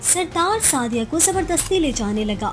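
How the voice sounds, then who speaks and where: A woman's voice: high voice, expressive, moderate pace, faint music behind. A woman reading scripted storytelling narration for a cartoon moral tale as its narrator.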